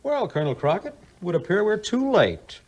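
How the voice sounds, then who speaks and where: An actor playing a wary, refined gambler with a genteel, formal way of talking in a 1950s audio play. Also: male voice, sits high, sing-song, normal speed, highs cut off. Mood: hesitant, wary. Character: wary, refined riverboat gambler, faint-hearted companion.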